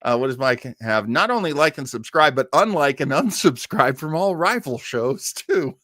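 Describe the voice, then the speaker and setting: A man's voice: a little high, very animated, moderate pace, bright, forceful tone, no echo. A man hosting a livestream, chatting casually with viewers in a rambling, stop-start way. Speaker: host of a livestream show.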